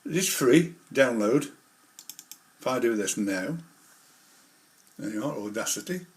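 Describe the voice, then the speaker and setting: A man's voice: medium pitch, expressive, a little slow. A man presenting an informal hobby tutorial, explaining things step by step in a rambling, hands-on way. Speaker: model railway hobbyist presenter.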